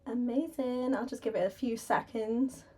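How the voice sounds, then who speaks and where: A woman's voice: a little high, fairly even intonation, normal speed, warm tone, slight background noise. A woman with a sore throat, chatting and giggling nervously as she streams herself painting. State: sore throat, slightly hoarse. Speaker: artist streaming a painting session. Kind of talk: casual livestream chat. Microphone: desk microphone.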